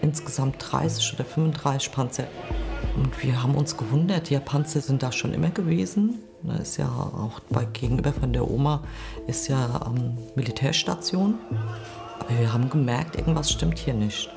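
A woman in her forties giving a reflective, halting interview account. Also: a bass voice, gravelly, music in the background. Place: quiet indoor room.

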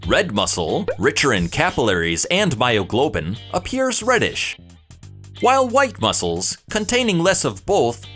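A man's voice: medium pitch, a bit bright. A man delivering scripted educational science narration, explaining things in a presentational way to viewers. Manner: explanatory, presentational, addresses viewers with rhetorical questions.

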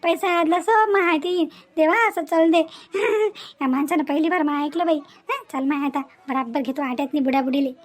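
A woman's voice: high voice, moderate pace, slight background noise, lightly acted. A woman with a Vidarbha Marathi accent playing a greedy, bossy young daughter-in-law in a cartoon family drama, wheedling and insistent. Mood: gloating. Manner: insistent, wheedling, punctuated with questioning grunts.

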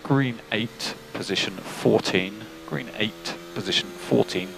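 A man working as a race engineer, giving calm, clipped technical instructions over team radio. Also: on the low side, slow pace, vocal fry. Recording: noisy team radio, engine noise behind.

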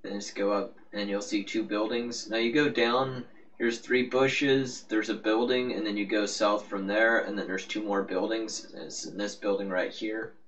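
A man's voice: on the low side, steady tone, normal speed, a bit bright. A man giving a casual, rambling, offhand game walkthrough as the host of a gaming video. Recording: muffled, noisy, distant-sounding microphone.

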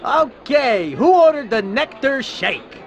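Slow, high-voiced bug bartender. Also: male voice, sing-song, dull audio, heavily acted. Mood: deadpan. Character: unhurried male bug bartender. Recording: bar crowd behind voice.

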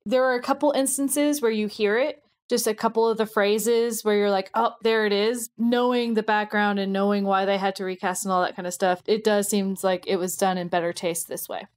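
A woman's voice: medium pitch, steady tone, fairly quick, few pauses. A woman co-hosting a podcast in a casual, chatty style. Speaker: podcast co-host. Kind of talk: casual chat.

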